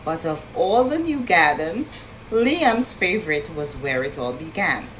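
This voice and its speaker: A woman reading a picture book aloud to children in an expressive storytelling style, with marvelling asides and questions to her young listeners. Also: low voice, a little slow, slight background noise, rough recording.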